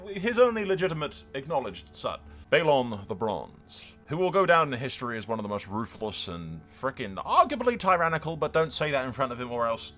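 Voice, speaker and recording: A man hosting a game roleplay series, telling the story as he plays in an excitable, dramatic way. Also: medium pitch. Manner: excitable, dramatic, mild swearing. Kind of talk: lively game playthrough commentary and storytelling.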